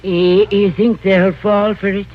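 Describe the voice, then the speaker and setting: An actor playing a rustic, good-natured but bossy old prospector, talking in short folksy phrases in a radio drama. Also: male voice, sits high, little pitch movement, slow. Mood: curious. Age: older. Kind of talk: 1950s radio drama acting. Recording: muffled old radio recording with music.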